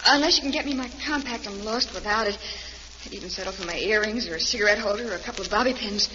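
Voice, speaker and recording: A woman's voice: mid-range voice, fast, lightly acted. Forlorn young jailed glamour girl played by a woman, echoing. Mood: wistful, hesitant. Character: glamorous, fragile young woman held in jail. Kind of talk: old-time radio melodrama acting. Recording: muffled, noisy old radio, long reverb.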